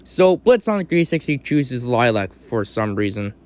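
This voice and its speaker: A man hosting a casual explainer commentary, speaking in halting, choppy phrases. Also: medium pitch, sing-song, darkish tone. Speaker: video host.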